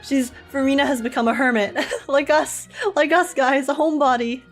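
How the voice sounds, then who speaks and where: A young woman gives casual livestream commentary on a game. Her voice sits a bit high and her manner is giggly and slangy, as if talking with friends. Faint music runs behind her. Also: steady tone, moderate pace. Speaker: live streamer.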